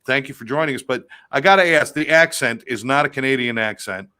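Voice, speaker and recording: A man's voice: mid-range voice, fairly quick, pushed and bright, smooth. A man co-hosting a show, upbeat and filler-heavy as he introduces the guest. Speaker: co-host.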